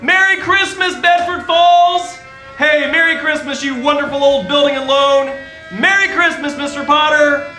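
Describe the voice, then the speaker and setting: A man on a theatre stage playing an overjoyed, excitable family man given his life back, in a radio play. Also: very high-pitched, normal speed. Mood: jubilant. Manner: excitable, exclamatory. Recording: echoey theatre stage, faint music.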